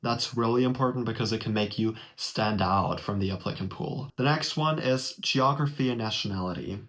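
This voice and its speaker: A man's voice: lowish voice, normal speed, fairly bright tone. A young man from Germany, a native German speaker, walking viewers through a college application form in a friendly, direct tutorial. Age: young adult. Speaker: vlogger explaining a college application. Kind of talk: casual tutorial walkthrough. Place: small indoor room.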